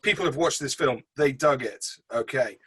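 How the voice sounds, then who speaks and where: A man's voice: mid-range voice, moderate pace, sharp tone, breathy edge. A man hosting a casual film review chat, speaking in a chummy, slangy and enthusiastic way. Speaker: film review show host.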